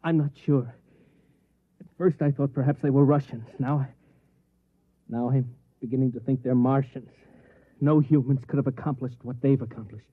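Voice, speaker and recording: Actor as jittery, paranoid American conspirator, rough-edged voice, thin vintage radio sound. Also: male voice, medium pitch, steady tone, normal speed, pauses often, close to an everyday voice. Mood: furtive, anxious. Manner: hesitant, secretive, earnest. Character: nervous, furtive, paranoid man whispering of conspiracy. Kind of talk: old-time radio drama acting. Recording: tinny, band-limited vintage radio recording.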